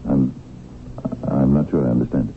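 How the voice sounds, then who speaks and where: An actor playing a hesitant, flustered small-time lawyer in a 1970s radio drama, halting and formal as he stammers. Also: extremely low pitch, fairly even intonation. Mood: flustered, tempted. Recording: tinny, noisy old radio broadcast.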